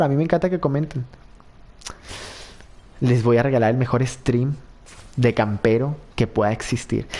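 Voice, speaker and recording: A young Mexican man with a Mexican Spanish accent, streaming a game live and chatting playfully as he reads comments. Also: darkish tone. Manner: playful, chatty, laughs often, jumps into high squeals. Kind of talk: live-stream gameplay chat reading comments.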